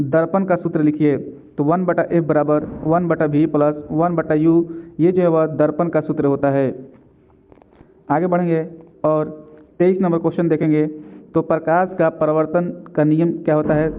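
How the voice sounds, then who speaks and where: A male tutor with a slightly high, level voice works through quiz questions in a steady question-then-answer rhythm. The recording is thin and tinny, like a phone line. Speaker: exam-prep tutor.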